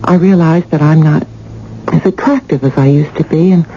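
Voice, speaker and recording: An actress playing an insecure, neglected wife in a 1980s radio drama, hesitant and plaintive. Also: female voice, deep voice, normal speed, mellow. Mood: insecure, wounded. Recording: muffled, noisy old radio broadcast.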